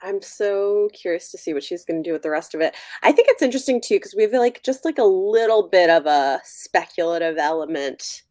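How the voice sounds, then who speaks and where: A woman with a slightly low voice speaks in a casual, conversational way. She is a podcast panelist who works through her thoughts on books analytically, and the sound is close and dry. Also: lively intonation, brisk, hardly any pauses, darkish tone, dry recording. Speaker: book discussion podcast panelist. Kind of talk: casual chat.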